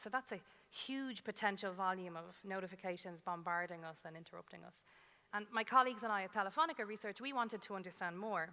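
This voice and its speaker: A woman speaks from the stage of a big, echoing hall in a bright, forward voice at a middling pitch. She delivers a prepared talk in a storytelling way, putting questions to the audience and dropping in short, emphatic asides. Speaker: conference speaker, data scientist. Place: large conference hall stage. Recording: reverberant hall sound.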